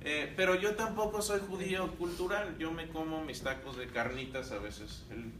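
A man's voice: fairly high pitch, moderate pace, fairly bright tone, echoey room, recorded from a distance, slight background noise. A man from Mexico teaching a Bible class, talking to the room and putting questions to his students. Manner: conversational, asks the class questions. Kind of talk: church class lecture.

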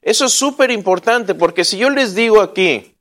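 A high-pitched man teaches the Bible in a relaxed class. He jokes and asks his listeners questions. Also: moderate pace. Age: 40s. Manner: joking and chatty, asks the audience questions.

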